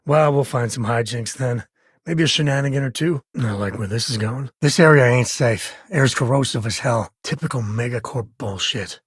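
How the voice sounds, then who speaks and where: An actor playing a rugged, wry frontier space explorer in a video game, with a husky voice and folksy, conversational delivery. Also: male voice, mid-range voice, moderate pace, no room sound, studio quality, natural voice. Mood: disgusted, serious. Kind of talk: video game character dialogue.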